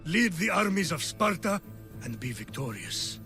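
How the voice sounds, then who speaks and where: A man's voice: high voice, very animated, full character voice. A stern fatherly general. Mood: solemn. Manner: measured, solemn, encouraging. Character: middle-aged male general, stern but fatherly. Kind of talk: video game cutscene acting. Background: game score music.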